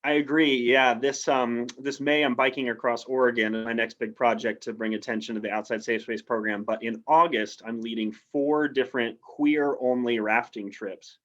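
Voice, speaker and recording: A man's voice: medium pitch, fairly even intonation, normal speed, few pauses, fairly bright tone. A man from the United States, a guest in a casual interview, speaking in an upbeat, persuasive way over a video call. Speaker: interview guest, outdoor adventurer. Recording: slightly dull, lo-fi audio.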